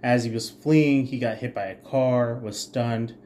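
A man co-hosting a podcast, telling stories conversationally in a halting, informal way with casual swearing. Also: medium pitch, steady tone, darkish tone, slight background noise. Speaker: podcast co-host.

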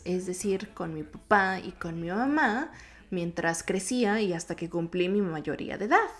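A woman with a Mexico City accent, a native Japanese speaker, hosting a solo podcast in a chatty, informal, slightly sing-song way. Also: medium pitch. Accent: Mexico City Spanish. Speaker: podcast host.

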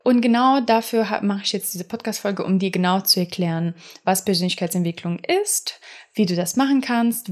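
Rambling young woman podcaster. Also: medium pitch. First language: not German. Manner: rambling, full of fillers and restarts. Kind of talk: casual personal monologue.

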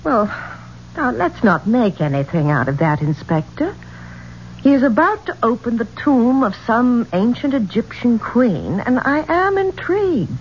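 An actress playing a gracious, curious, self-possessed singer in a 1970s radio drama, speaking in a polished, expressive way. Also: female voice, on the high side, a little slow. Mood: challenging. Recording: dull, noisy 1970s radio recording.

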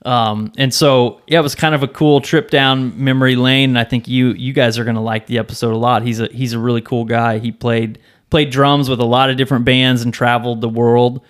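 A middle-aged man with a mid-pitched voice talks casually as a podcast host. His delivery is conversational and unscripted, like someone chatting with people he knows. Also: steady tone, a little fast, few pauses. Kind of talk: casual chat.

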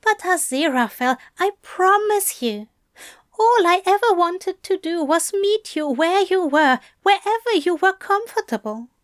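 Woman doing a soft teasing demon guy, high voice that swoops around a lot, slow, dry close sound. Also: expressive, dry recording. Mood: tender reassurance. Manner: soft, coaxing, earnest. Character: lanky male demon, tender and teasing. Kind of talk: audiobook fan fiction reading.

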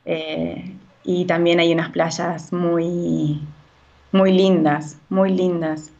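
A woman's voice: lowish voice, flat delivery, very slow, gravelly, warm tone, muffled recording. A woman from Chile, a real estate agent giving hesitant interview answers full of fillers and restarts.

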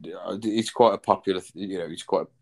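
Brief: thirties male host, lowish voice, loose rambling chat, swears easily. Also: a little fast, creaky. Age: 30s. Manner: rambling, swears casually, many fillers. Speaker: podcast host. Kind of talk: casual chat.

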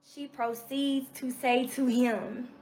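A woman's voice: high-pitched, takes it slowly, a little hiss or hum, rough recording. A woman telling a story in a blunt, casual way, swearing and acting out the people she quotes. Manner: blunt, swears, animated quoting.

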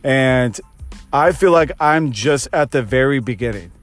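A man's voice: medium pitch, lively intonation, measured pace, soft background music. A man of thirty, a fitness coach, hosting his own podcast and giving an earnest motivational pep talk that repeats phrases for emphasis. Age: 30s.

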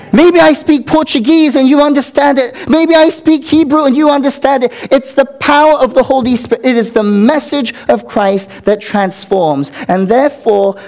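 A man's voice: very high voice, a little mellow. A man preaching a sermon to his congregation as its pastor, earnest, with call-and-response asides to the listeners.

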